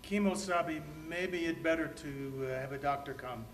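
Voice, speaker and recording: Guy doing a stoic western radio sidekick, short broken sentences, kinda high, far from the mic. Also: moderate pace, lightly acted. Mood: calm, helpful. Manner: terse, clipped broken English. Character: stoic, helpful Native companion, man, in radio Western. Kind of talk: old-time Western radio acting. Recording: distant from mic, faint noise.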